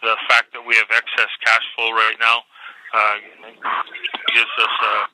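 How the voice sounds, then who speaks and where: Flat-voiced executive on a very noisy phone line. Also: male voice, fairly low pitch. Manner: halting, hesitant delivery. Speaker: company executive. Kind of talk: unscripted investor conference call presentation. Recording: very noisy, crackly telephone conference line.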